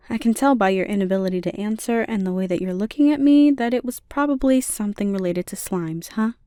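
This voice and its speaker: Woman acting soft, mellow, soothing slime girl. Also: medium pitch, brisk, clear, resonant tone, no echo, studio quality, lightly acted. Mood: gentle explaining. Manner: soft, soothing, intimate delivery. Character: gentle, caring slime monster girl, playfully teasing. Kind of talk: ASMR roleplay.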